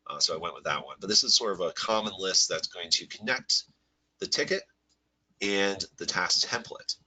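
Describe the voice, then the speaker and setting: A man with a low voice and a sharp, forceful edge talks through a live software webinar. He has a head cold that makes him a little nasal, and he explains in a loose, rambling way with plenty of "uh"s and restarts. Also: normal speed, husky, rough recording. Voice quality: slightly nasal. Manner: relaxed, rambling, filler-heavy explaining. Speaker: software workshop presenter. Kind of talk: live webinar walkthrough with screen share.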